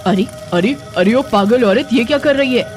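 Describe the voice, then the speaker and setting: A woman's voice: fairly high pitch, normal speed, music in the background, natural voice. A woman voicing a menacing witch in a children's horror story, exclamatory and gloating. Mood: indignant. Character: menacing female witch hunting villagers for prey.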